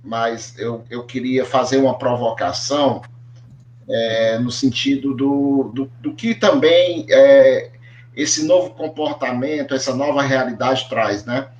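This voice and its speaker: A man's voice: mid-range voice, slow, a little mellow, highs cut off, slight background noise. A man with a Brazilian accent moderating an online panel, framing questions in a hesitant way with repeated words. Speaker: host. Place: echoey room, on a video call. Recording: echoey room over online call.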